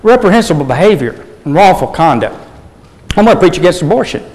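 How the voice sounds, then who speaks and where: A man preaching a sermon in a measured way, often clearing his throat as his voice starts to give out. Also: high voice, sing-song, a little mellow, in a large hall, rough recording. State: losing his voice. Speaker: pastor.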